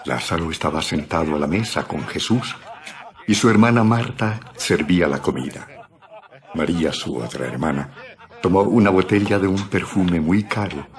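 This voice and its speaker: A man's voice: deep voice, moderate pace. A man narrating a dramatized audio Bible from a script.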